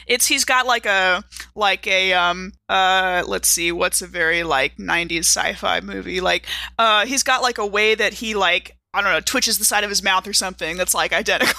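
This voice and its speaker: Female tabletop player, bright and expressive, casual improvised banter, dry close sound. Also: medium pitch, normal speed, creaky, hardly any pauses, dry recording.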